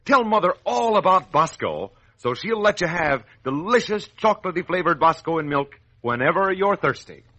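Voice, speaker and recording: A man's voice: sits high, big pitch swings, normal speed, hardly any pauses, sharp tone, poor audio. A man announcing and narrating in a scripted, emphatic 1940s children's radio style. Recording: old radio broadcast recording.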